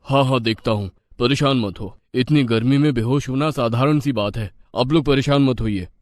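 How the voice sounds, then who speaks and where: Man voicing a measured village doctor. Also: medium pitch, close to an everyday voice. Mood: reassuring. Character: calm village doctor, reassuring and advising. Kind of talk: Hindi moral-story cartoon dub.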